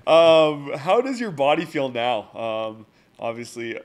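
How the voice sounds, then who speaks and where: Easygoing thirties podcast co-host. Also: male voice, mid-range voice, very animated, slow. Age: 30s. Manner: easygoing, curious questions. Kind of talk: casual podcast chat. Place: podcast studio. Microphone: boom mic on a stand.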